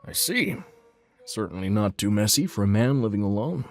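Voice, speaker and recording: A courteous property management agent in a manga dub acted by a man. He speaks in a low, measured, matter-of-fact way, all business. Quiet music runs underneath. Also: animated. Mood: polite, matter-of-fact. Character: polite, businesslike male apartment management agent.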